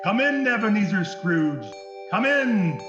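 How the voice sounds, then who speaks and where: A man's voice: sits high, very animated, unhurried. A man playing a jolly giant Christmas ghost, hearty and commanding in a declamatory dramatic reading. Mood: jovial, commanding. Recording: muffled echoey room, faint music.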